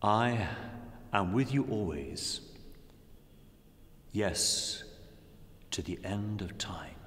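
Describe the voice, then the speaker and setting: Slow-speaking man in an echoing hall. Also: fairly low pitch, lively intonation, fairly bright tone. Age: middle-aged. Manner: solemn, measured, with long pauses between phrases. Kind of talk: reflective sermon and prayer. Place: large dim indoor room. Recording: long echoing reverb of a hall.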